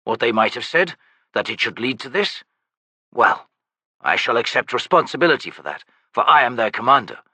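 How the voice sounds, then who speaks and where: A calm, weary male commander speaks quietly and steadily, as a man plays him. The voice is bright and close, with no room around it. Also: medium pitch, moderate pace, no echo, full character voice. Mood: steady.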